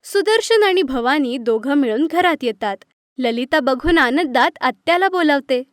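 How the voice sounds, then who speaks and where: A woman storyteller with a high voice reads a scripted children's tale. The recording is very dry and close. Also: animated, moderate pace, no room sound. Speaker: narrator.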